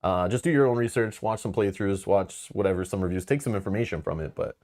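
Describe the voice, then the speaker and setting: A man's voice: on the low side, quick pace, a little mellow. A man hosting a live board game stream, talking in a casual, rambling way with lots of fillers and frank asides. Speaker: board game channel host.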